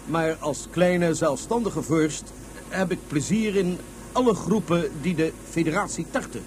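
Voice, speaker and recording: An actor playing a courtly ruler of a small independent state on Mars, formal, halting and self-deprecating, in a science fiction radio drama. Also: male voice, on the high side, a little hiss or hum, natural voice. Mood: proud, aggrieved.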